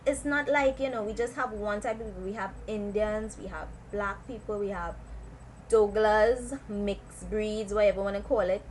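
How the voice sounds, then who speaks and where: A young Trinidadian woman talks to her camera in a relaxed, chatty way, sprinkling in local slang. Her voice sits at a middling pitch, and a faint hiss of noise runs under her speech. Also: rough recording. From South Trinidad. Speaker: vlogger. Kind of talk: casual chat to camera.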